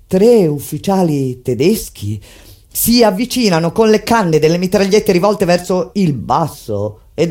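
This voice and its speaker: An actress playing a grave, intense resistance fighter recounting an uprising in solemn, declamatory theatrical narration. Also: female voice, on the low side. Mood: grave, restrained. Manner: solemn, declamatory, deliberate phrasing. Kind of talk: dramatic theatrical narration of a text set to music. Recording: loud music under the voice. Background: loud instrumental music.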